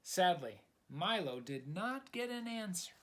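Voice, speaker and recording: A man narrates a picture book for young children in a dramatic, expressive way. He takes his time over the phrases and moves his pitch up and down a great deal. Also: measured pace. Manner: dramatic, addresses young listeners. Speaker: storytime reader.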